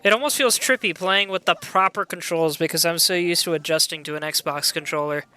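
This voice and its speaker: Bright, chatty young streamer. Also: mid-range voice, brisk, clean tone. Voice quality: loud voice. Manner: chatty and excitable, greets and reads viewer chat aloud. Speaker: game streamer. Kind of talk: casual live gameplay chat.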